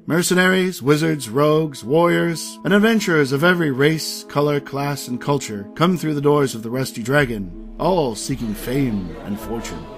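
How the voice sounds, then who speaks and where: A man reading scripted narration for a high fantasy audio drama, as its storyteller. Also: normal speed, hardly any pauses, close to an everyday voice. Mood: measured, grand. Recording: music under the voice.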